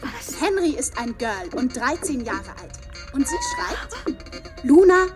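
Bubbly young influencer, radio drama, high voice, animated swoops, gushing, music behind. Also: female voice, slow pace, heavily acted. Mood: chirpy. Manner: bubbly, exaggerated enthusiasm. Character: chirpy, gushing young female video blogger. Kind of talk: parodied online video in radio drama.

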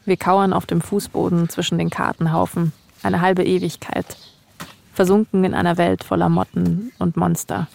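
A woman's voice: fairly low pitch, flat delivery, moderate pace, vocal fry, darkish tone. A woman, speaking as a podcast host in narration and casual chat.

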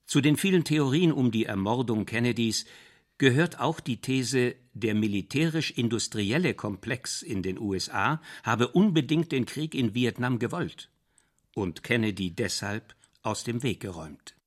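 A man reading a history documentary script in a measured, even way. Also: medium pitch. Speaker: documentary narrator.

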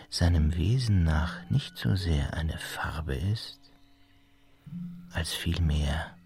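An actor playing a brooding seafarer, giving a solemn, halting, ominous literary monologue in a hushed voice. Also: male voice, sits low, vocal fry, full character voice. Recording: loud dramatic music behind the voice.

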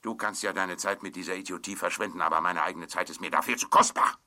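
An irritable older gentleman in a radio play. His voice is very low and hard-edged, and he blusters with theatrical indignation, impatient with everyone. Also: steady tone, quick pace, full character voice. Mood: irritated, indignant. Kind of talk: German audio drama acting.

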